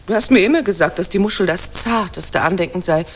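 A woman's voice: on the low side, brisk, lightly acted. An actress playing a proud, jealous Greek queen of about forty in a theatrical, coquettish 1940s radio drama style. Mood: probing. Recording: noisy, clicking 1940s radio recording.

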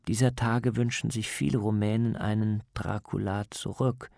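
Guy narrating a radio documentary, reporter reading a script, lowish gravelly voice. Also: fairly even intonation, moderate pace, darkish tone, no room sound, clean studio sound.

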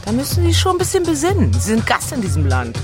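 An actress playing a blunt, worldly German expatriate manager, talking casually and dropping in bits of English, with music underneath. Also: female voice, fairly high pitch, wildly swooping pitch, quick pace. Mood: matter-of-fact, hardened. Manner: blunt, colloquial, drops in English phrases. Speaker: turnaround manager. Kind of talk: documentary-style monologue, casual talk.

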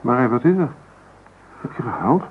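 An actor playing an affectionate, caring young student boyfriend in a Dutch radio play. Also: male voice, medium pitch, sing-song, normal speed, lightly acted. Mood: concerned, affectionate. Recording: tinny, noisy old radio recording.

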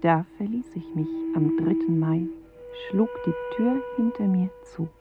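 A woman performing a pensive narrator in a poetic radio drama, speaking in measured, deliberate fragments on a muffled 1980s recording. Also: on the low side, loud music behind the voice, natural voice. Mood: resigned. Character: pensive female narrator of a dreamlike inner journey.

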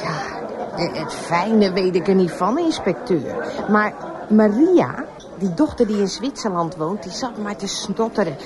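An actress as a lively gossiping café landlady. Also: mid-range voice, measured pace, a crowd in the background, natural voice. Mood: gossipy, animated. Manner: colloquial, excitable storytelling, mimicking others. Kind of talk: radio drama acting.

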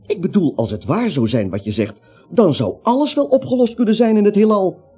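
A man's voice: high-pitched, animated, brisk, mellow, husky, exaggerated voice. An actor playing a nervous, naive little everyman who rambles, hesitates and justifies himself in a comic radio play. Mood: baffled, uneasy. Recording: muffled old radio play, music behind.

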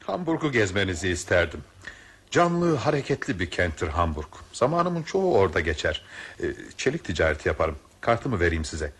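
An actor playing a self-assured, courteous merchant in a radio drama, teasing and flirtatious. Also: male voice, low voice, big pitch swings, brisk, a bit bright, breathy edge, exaggerated voice.

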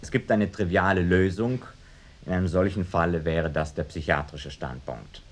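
Low-voiced, pedantic professor. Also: male voice. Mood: clinical. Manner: hesitant, deliberate, academic hedging. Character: pedantic male university professor, parapsychology expert witness. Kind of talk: mock documentary radio drama, expert statement.

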